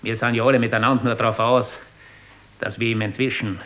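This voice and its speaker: An actor playing a cynical, flippant Austrian aristocrat in a radio drama, sardonic and drawling, with an Austrian accent. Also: male voice, mid-range voice, level tone, brisk, fairly bright tone, close to an everyday voice. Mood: scornful, derisive.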